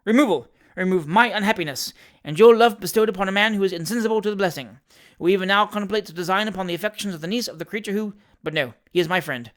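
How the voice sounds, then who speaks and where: A man's voice: high voice, fast, natural voice. A glib male schemer from a storybook, acted out by a male narrator, who talks in short telegraphic bursts. He piles on theatrical gallantry and mock anguish to win people over. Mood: melodramatic. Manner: clipped telegraphic fragments, theatrical. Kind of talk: dramatised storybook reading.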